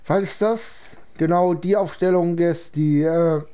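Slow-talking man with a cold. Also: fairly high pitch, fairly even intonation, mellow, clean tone. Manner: slow, halting talk with many pauses and fillers. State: slightly sick with a cold. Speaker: gaming channel host. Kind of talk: casual gameplay commentary.

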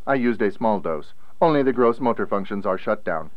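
A man's voice: lowish voice, animated, brisk, no room sound, dull audio, close to an everyday voice. A man acting in a radio play as a formal, earnest salesman-technician who speaks precisely. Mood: clinical. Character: formal, earnest male salesman-technician, secretly a time investigator.

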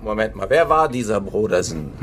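An actor playing a sardonic, persistent police investigator in a radio drama, with a needling, probing manner. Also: male voice, fairly low pitch, sing-song, moderate pace, fairly bright tone, a little hiss or hum. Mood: sardonic, pressing.

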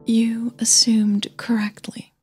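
A woman voicing an uneasy, polite young woman singer in an audio drama, with soft, breathy delivery. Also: a little high, little pitch movement, takes it slowly, soft background music, voice pushed up, heavily acted. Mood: forced calm.

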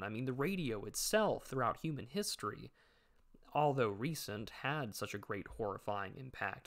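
Gravelly young man musing outdoors. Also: mid-range voice, fairly bright tone. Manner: thoughtful, musing, with many asides. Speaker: video essayist. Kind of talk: reflective monologue. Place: outdoors by a pond in open country.

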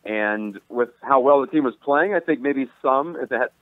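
A man's voice: medium pitch, normal speed, dry recording. A man calling in as a sports reporter, talking steadily and in detail. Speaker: sports reporter, phone guest. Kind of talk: sports commentary over the phone. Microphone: phone. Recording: tinny phone line.

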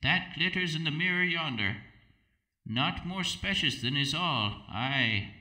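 Man voicing a slow, piercing ghostly old lady. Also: fairly high pitch, lively intonation, bright, forceful tone, far from the mic, exaggerated voice, poor audio. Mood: solemn warning. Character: ghostly antique old lady, solemn and cryptic. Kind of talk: archaic storybook reading.

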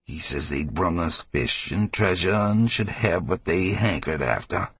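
Gravelly old New England codger. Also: male voice, low-pitched, animated, measured pace, fairly bright tone, dry recording, pitched above the performer's own voice, full character voice. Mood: grim, secretive. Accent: rural New England. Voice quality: gravelly, husky old man's rasp. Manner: rambling folksy dialect, cackling and fearful. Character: hysterical, frightened old New England drunkard telling dark secrets. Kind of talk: dramatized audiobook character voice.

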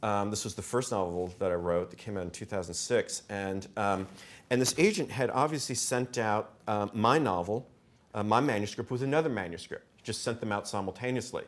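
A man in his fifties speaks from an auditorium podium as an author at a reading. His voice sits fairly low, and he talks informally in halting phrases with a wry, self-deprecating manner. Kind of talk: informal anecdotal talk to an audience. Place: wood-panelled auditorium stage. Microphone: podium microphone and lapel mic.

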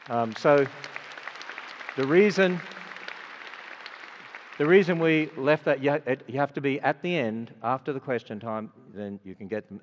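Older Australian man preaching in a hall. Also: mid-range voice. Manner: earnest, conversational, many fillers. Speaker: speaker. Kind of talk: sermon closing then announcements. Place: large auditorium. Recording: dull sound with long hall reverb.

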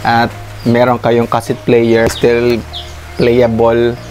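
A man's voice: mid-range voice, completely flat delivery, slow pace. A Filipino man in his thirties with a Filipino accent, presenting casually as a YouTube host and switching informally between two languages. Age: 30s. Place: home, room and open garden. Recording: music behind the voice. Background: loud music.

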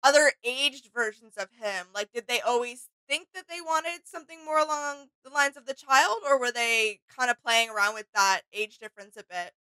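A woman's voice: a little high, moderate pace, few pauses, pushed and bright, dry recording, poor audio. A woman in her twenties chatting casually as co-host of a reaction video. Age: 20s. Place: small home room with shelves, indoors.

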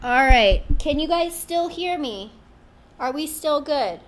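A young woman hosting a live craft demo in a small studio, chatty and playful, with a high, girlish voice. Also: lively intonation, takes it slowly. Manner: chatty, playful, self-teasing. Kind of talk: live-streamed product demo. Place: small studio with branded backdrop, indoors.

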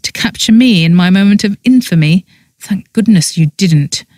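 A woman's voice: medium pitch, animated, moderate pace, darkish tone, no echo, studio quality, natural voice. A woman acting a wry, easily exasperated heroine. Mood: wry joking.

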